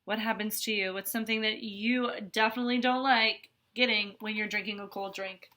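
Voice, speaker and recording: A woman's voice: medium pitch, steady tone, normal speed, sharp tone. A woman reading a picture book aloud to children, adding chatty, explanatory asides as a teacher would.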